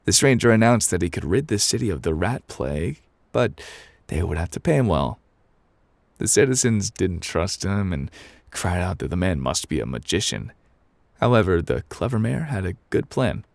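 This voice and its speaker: A man voicing a gruff, indifferent game character who reads a bedtime story in an offhand ASMR narration style with dramatic pauses. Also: low voice, sing-song, dry recording, heavily acted. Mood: offhand, unhurried.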